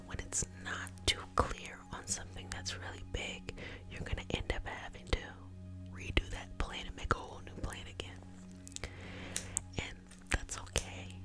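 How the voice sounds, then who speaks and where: A woman whispering breathily and soothingly as an ASMR reiki healer, slowly guiding a healing session and repeating calming phrases.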